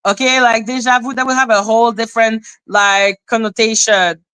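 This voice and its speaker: Bright-voiced woman ranting. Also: on the high side, fairly even intonation, moderate pace, clear, resonant tone, no echo. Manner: sarcastic, exasperated, rambling. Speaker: livestream host. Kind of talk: ranting live-stream commentary.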